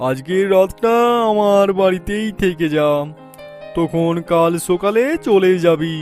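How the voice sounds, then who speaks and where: A man's voice: high voice, darkish tone. A man voicing a friendly, concerned village uncle in a cartoon story. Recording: music behind the voice. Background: background music.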